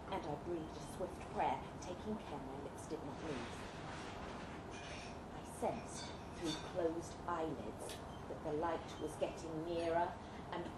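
A woman giving a measured, suspenseful, theatrical reading of a Gothic Victorian melodrama. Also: lowish voice. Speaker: reader performing a short story at a live event. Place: indoor pub event space, live reading. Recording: echoey room, distant mic, clear background noise.

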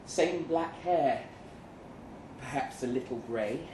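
A man performing a live short-story reading as a weary, jaded agent, speaking deliberately with dramatic pauses. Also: mid-range voice, natural voice. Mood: wistful. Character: weary, jaded male agent narrating his own story. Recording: roomy live venue, some noise.

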